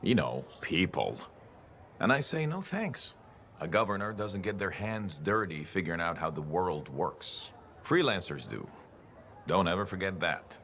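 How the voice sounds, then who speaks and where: An actor playing a cocky, gruff mechanic freelancer in a video game, boastful, folksy and plain-spoken. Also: male voice, deep voice, sing-song, unhurried, a bit bright, a little hiss or hum, full character voice. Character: cocky, gruff mechanic freelancer philosophizing about the world as machine. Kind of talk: video game dialogue acting.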